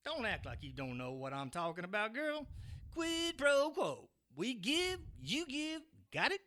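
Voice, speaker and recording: A man's voice: very high voice, huge pitch swings, unhurried, sharp tone, exaggerated voice. A man playing a crude, leering street bully in an audio drama, slangy and swaggering.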